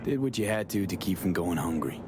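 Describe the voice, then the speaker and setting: A man's voice: sits low, expressive, brisk, noisy background. A man playing a cynical, free-spirited street mercenary in a video game, with an American accent and clipped, casual, wry phrasing. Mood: defiant. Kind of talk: cinematic video game acting. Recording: music under the voice.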